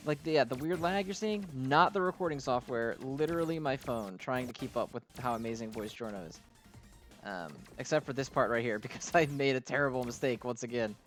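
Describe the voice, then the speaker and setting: A man with a mid-pitched voice gives casual commentary as a gaming host over audible music. He talks in a gushing, exclamatory way and peppers his sentences with "like.". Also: fairly quick, fairly bright tone.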